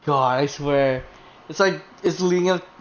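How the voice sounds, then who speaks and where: A game streamer making loose, offhand remarks during play, swearing freely. The voice sits at an ordinary middle pitch. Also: unhurried. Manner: casual, swears, offhand asides. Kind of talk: casual gameplay commentary.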